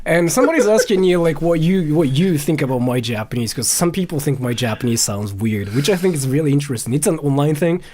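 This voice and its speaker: A man hosting a casual online chat, speaking slightly high with a very lively, swinging pitch. He is excitable, laughs easily and often says things twice over a video call. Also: quick pace, hardly any pauses. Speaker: streamer host. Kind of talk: casual live-stream chat.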